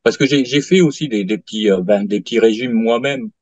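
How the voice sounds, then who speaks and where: A man in his late fifties giving a halting testimonial in an interview, full of fillers and restarts. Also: medium pitch, darkish tone, no room sound. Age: 50s. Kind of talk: hesitant interview answers. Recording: dull lo-fi dry sound.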